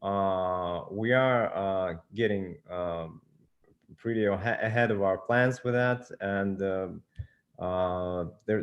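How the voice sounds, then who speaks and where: A man who writes and produces films, answering interview questions hesitantly with many pauses. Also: fairly low pitch. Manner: hesitant, many fillers and restarts.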